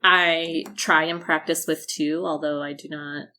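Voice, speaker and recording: A woman's voice: deep voice, level tone, unhurried, sharp tone. A woman chatting casually as a tabletop game player, tentative and thinking aloud.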